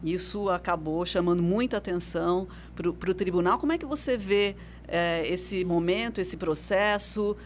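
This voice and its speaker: A woman's voice: fairly low pitch, normal speed, few pauses, a little hiss or hum. Woman radio host, conversational. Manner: conversational, frequent affirming "uhum". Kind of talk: radio interview hosting. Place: radio studio.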